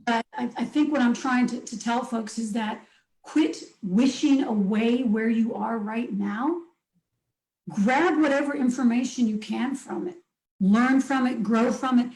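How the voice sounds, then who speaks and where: A middle-aged woman with a somewhat high voice talks in an upbeat, emphatic way, reeling off short phrases. She is recorded in a room with a noticeable echo. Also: measured pace, darkish tone. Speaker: life coach, interview guest. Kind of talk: casual interview talk. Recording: echoey room.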